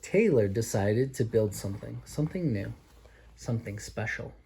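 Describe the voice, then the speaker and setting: A man reading a children's picture book aloud as a father to his child, expressively and with drawn-out emphasis. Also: fairly low pitch, animated, gravelly, mellow, a little hiss or hum.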